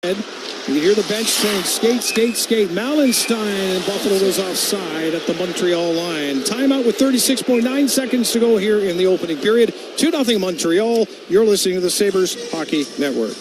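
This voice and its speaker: High-voiced man calling hockey on radio. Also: moderate pace. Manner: clipped phrases following the action. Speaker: play-by-play hockey announcer. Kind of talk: live radio sports commentary. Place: broadcast position at a hockey arena. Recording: arena broadcast with clear background noise.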